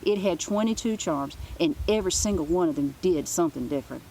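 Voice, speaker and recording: A woman with a slightly low voice narrates a children's book aloud. Her storytelling is informal, and there is faint noise in the background. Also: animated, fairly quick, warm tone, low-quality recording. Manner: informal, with asides.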